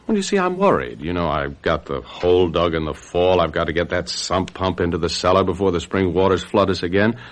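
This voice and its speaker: A wheedling husband in a radio thriller. His voice is low, with hugely animated swoops of pitch. He coaxes persuasively, with small hesitations, like someone used to getting his way. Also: a little fast, few pauses. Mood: coaxing. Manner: coaxing, hesitant. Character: persuasive, wheedling husband, handsome and self-indulgent. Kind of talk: radio mystery drama acting.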